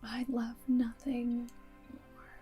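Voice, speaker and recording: An aloof vampire girlfriend and Resistance spy played by a woman gives hypnotic orders in a breathy, half-whispered ASMR voice. Her tone is high but mellow and held very even, with deliberate phrasing. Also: faint music behind. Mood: fond, composed. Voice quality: soft, breathy, often whispered. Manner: slow, deliberate, hypnotic instructing. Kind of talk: ASMR roleplay.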